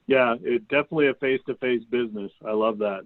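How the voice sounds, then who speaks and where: A man's voice: mid-range voice, fast, no echo. A man hosting a group call, casually chatting and telling anecdotes in an informal way, over narrow call audio. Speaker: meeting host. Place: video call.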